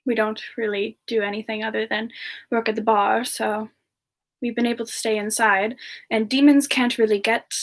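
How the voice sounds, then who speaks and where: A woman voicing a gentle, friendly young siren demon in a semi-ASMR livestream chat, speaking softly and hesitantly with polite warmth toward listeners. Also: a little high, level tone, normal speed, natural voice. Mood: thoughtful, wry. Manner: soft-spoken, hesitant, polite, breaking into murmurs. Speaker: livestream host in character.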